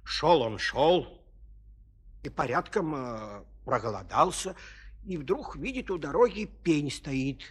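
A man's voice: on the high side, animated, slow, breathy edge, heavily acted. An actor playing an elderly peasant father who tells his tale in a folksy, lamenting way, exclaiming and repeating himself in a children's audio play. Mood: fretful. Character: elderly fretful peasant father of three sons. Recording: 1970s studio audio play.

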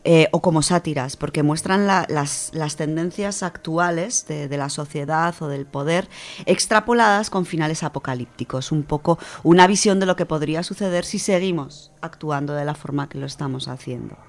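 Low-voiced woman explaining on radio. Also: normal speed. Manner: thoughtful, with frequent hesitations and restarts. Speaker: radio host presenting a book segment.

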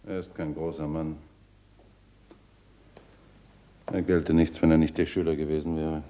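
An actor playing a plain-spoken, indignant lens grinder in a 1960s German radio drama, blunt and emphatic. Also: male voice, very deep voice, level tone, brisk, warm tone. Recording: roomy, slightly noisy old radio recording.

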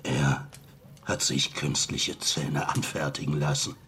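An actor playing a bitter, remorseful villain in a German radio play, with a gravelly voice and a slow, halting delivery. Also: male voice, extremely low pitch, fairly even intonation, fairly bright tone, slight background noise, heavily acted. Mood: scornful. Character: dying, remorseful villain confessing, scornful and bitter.

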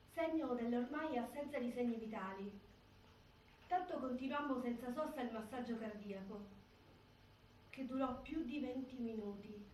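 An actress plays a female prison doctor with a high voice. She testifies formally and soberly, in a measured clinical manner. The recording is roomy and distant, with faint noise. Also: a little mellow, lightly acted. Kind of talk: formal testimony monologue on stage.

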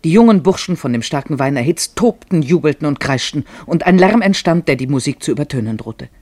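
A man narrating a literary tale for a radio drama, expressive and measured in his phrasing. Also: a little high, no echo, clean studio sound.

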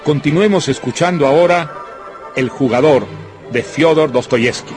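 Higher-voiced male radio announcer over music. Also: normal speed. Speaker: programme announcer.

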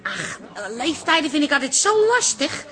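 A woman's voice: sits high, animated, moderate pace, fairly bright tone. An actress playing a kindly, easily flustered café woman in a Dutch radio drama, hesitant and placating.

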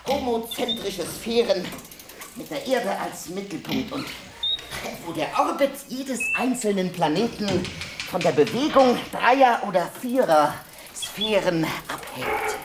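An actress playing a woman who recites precise technical descriptions in a literary radio play, with deliberate phrasing. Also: medium pitch, lively intonation. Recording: roomy echo, clear background noise.

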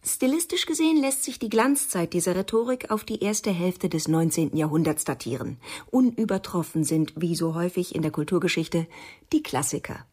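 Low, husky woman narrator. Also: lively intonation, fairly quick, warm tone. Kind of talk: scripted literary narration for radio.

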